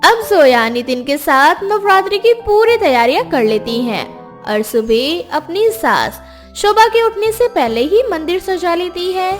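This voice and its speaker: A woman narrates a scripted moral story in a steady, storytelling manner. Her voice is high and lively in pitch. Faint music plays in the background. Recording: music bed behind voice.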